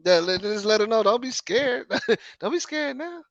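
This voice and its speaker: A man's voice: high-pitched, lively intonation, moderate pace, muffled recording, a crowd in the background. Southern man hosting a casual stream. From Arkansas. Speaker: host. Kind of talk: casual live-stream chat.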